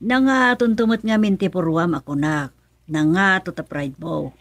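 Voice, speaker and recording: A woman's voice: lowish voice, normal speed, no room sound. An actress playing a worried, nagging middle-aged mother in a radio serial, emphatic, with an Ilocano accent. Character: worried, nagging mother, older than her son. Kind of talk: radio serial drama acting.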